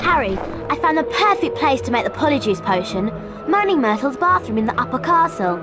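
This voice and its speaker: An actress playing an earnest, bossy schoolgirl witch in a video game, brisk in manner. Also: sits high, sing-song, over loud music. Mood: eager. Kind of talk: video game character acting.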